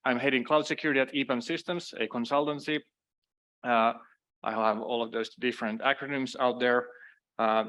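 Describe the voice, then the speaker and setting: A middle-aged man speaks with a clear Finnish accent, his voice sitting at an ordinary pitch. He presents a conference session in a loose, conversational way, stopping to restart phrases and dropping in self-deprecating asides. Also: a bit bright. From Finland. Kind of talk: conference talk.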